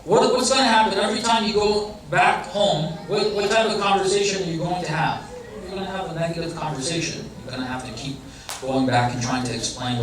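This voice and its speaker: A man giving a religious lecture in a conversational way, often putting questions to the audience. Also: medium pitch. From Iranian family background. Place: large hall or center. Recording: very echoey hall, heard from a distance.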